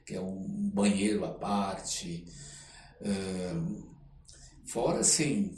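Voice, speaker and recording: Slow, halting older man in a roomy space. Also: low voice, a little mellow. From Belém, Pará, Brazil. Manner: halting, searching for words, frequent check-in tags. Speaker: podcast guest, theatre artist. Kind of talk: reminiscing in a casual interview. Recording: roomy echo, off-mic, faint background noise.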